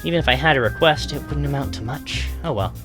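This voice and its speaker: A man reading a visual novel's first-person story aloud as live narration, with loud game music behind him. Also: mid-range voice, quick pace.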